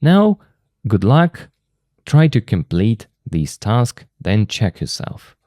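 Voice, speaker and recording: A man with a Russian accent teaching English grammar from a textbook, explaining slowly and patiently and repeating the key terms. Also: lowish voice, sing-song, darkish tone, dry recording, studio quality.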